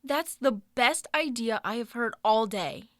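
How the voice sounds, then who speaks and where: A woman plays an upbeat girl who runs her club with confidence in a children's story. Her youthful voice sits high and has a bright, forward edge. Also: animated, unhurried, no room sound, studio quality. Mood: earnest. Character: Riley, upbeat take-charge girl leading a club. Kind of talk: scripted children's audio story.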